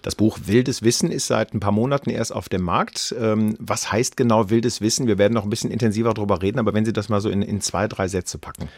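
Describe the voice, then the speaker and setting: A man's voice: fairly low pitch, fast, hardly any pauses, no room sound, studio quality. A middle-aged man hosting a radio interview, asking questions in a formal, courteous way. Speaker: radio host.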